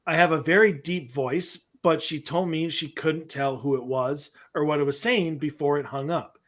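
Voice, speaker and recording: A man with a fairly high speaking voice, chatting from home over a webcam call. He is playful and jokey and laughs often. When he reads a listener story aloud, he slips into a sing-song delivery. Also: moderate pace, a bit bright. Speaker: podcast co-host. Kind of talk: casual chat, reading a listener story aloud. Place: room at home.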